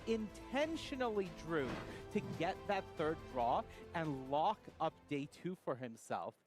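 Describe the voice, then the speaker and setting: A man giving live broadcast analysis of a tournament, emphatic and deliberate in his storytelling. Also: fairly high pitch, lively intonation, music in the background.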